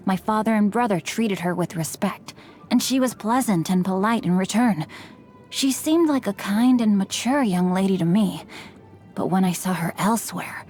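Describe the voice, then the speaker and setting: A woman's voice: mid-range voice, darkish tone, natural voice. A woman voicing a courteous, bookish teenage boy martial artist in a video game, with refined, eloquent and formal diction. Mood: puzzled, thoughtful. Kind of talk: video game character voice lines. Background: music.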